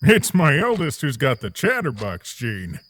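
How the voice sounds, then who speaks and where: A man's voice: medium pitch, huge pitch swings, unhurried, a bit bright, exaggerated voice. A man voicing a kind, hospitable, slightly awkward fatherly king in a comic dub, courteous and chatty. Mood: gentle, chatty. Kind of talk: dramatic voice acting for a comic dub.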